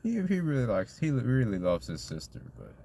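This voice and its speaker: A man's voice: mid-range voice, wildly swooping pitch, normal speed, creaky, mellow. A man co-hosting a casual podcast, rambling with lots of fillers as he recaps a show. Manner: rambling, lots of likes and ums. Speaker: podcast co-host. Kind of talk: casual chat recapping a convention.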